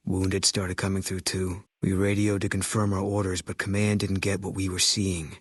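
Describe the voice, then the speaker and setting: Casting: stoic, guilt-ridden military sniper in a video game; deep, raspy, terse, flat delivery. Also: male voice, moderate pace, dry recording, studio quality. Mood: grim, haunted. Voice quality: low, husky. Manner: deadpan, terse, clipped sentences. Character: terse, guilt-haunted ex-army sniper, grimly stoic man. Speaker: video game companion character.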